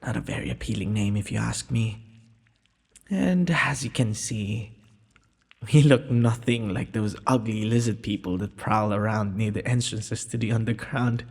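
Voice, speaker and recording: A man playing a gentle, courteous young prince in a romantic audio roleplay, speaking formally and a little hesitantly. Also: fairly low pitch, moderate pace. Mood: amused, teasing. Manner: formal, polite, breathy speech with hesitations. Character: gentle, courteous young underground prince, nervous, earnest suitor. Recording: long hall-like reverb.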